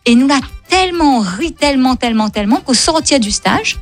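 A woman's voice: sits high, brisk, faint music behind. A woman from Réunion with a Réunionese accent, Creole as her first language, chatting as a comedian guest and telling stories with lots of repetition and laughter. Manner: lively, repetitive, laughs often. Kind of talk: casual storytelling interview.

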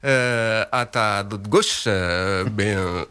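A man's voice: fairly low pitch, extremely slow, pushed and bright, clean tone. A man hosting a studio round-table on radio, polite and hesitant, with frequent fillers. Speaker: radio host.

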